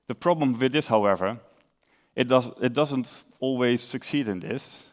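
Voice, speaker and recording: A young man from the Netherlands with a Dutch accent, giving a conference talk in a relaxed, explanatory way with filler uhs and self-corrections. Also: medium pitch, moderate pace. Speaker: conference speaker.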